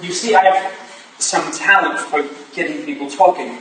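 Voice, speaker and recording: A man's voice: on the high side, normal speed, fairly bright tone, natural voice. A man playing an earnest, idealistic Victorian husband who pleads and defends himself in a staged reading of a period drama. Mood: earnest, defensive. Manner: earnest, theatrical. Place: staged reading in a room, recorded from afar. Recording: distant echoey room, faint noise.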